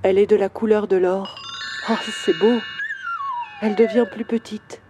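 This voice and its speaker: A woman voicing a grieving male bronze statue in a children's audio story, slow and wistful. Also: medium pitch, steady tone, muffled recording, over music, close to an everyday voice. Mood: sorrowful.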